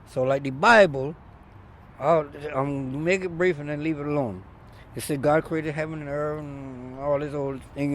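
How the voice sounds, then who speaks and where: A middle-aged man from Barbados, a former barber, telling stories in an animated, emphatic way during a casual outdoor interview by a street. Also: fairly high pitch. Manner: animated storyteller, loud emphatic bursts. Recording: faint street noise outdoors.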